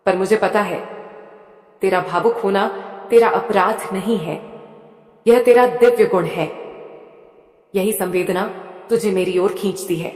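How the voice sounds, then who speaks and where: A tender mother goddess, voiced by a woman, speaks in a calm, soothing tone, addressing her devotee directly and intimately. Her voice sits at a middle pitch. It rings out with a long, roomy hall reverb. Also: close to an everyday voice. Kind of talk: devotional monologue.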